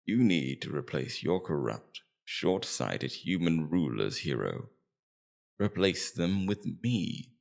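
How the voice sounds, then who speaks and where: A man playing a scheming, immortal Demon King in an ASMR roleplay, taunting and persuasive, with theatrical, deliberate emphasis. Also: extremely low pitch, big pitch swings, vocal fry, a little mellow, heavily acted. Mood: cold, persuasive. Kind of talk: ASMR roleplay, scripted villain monologue.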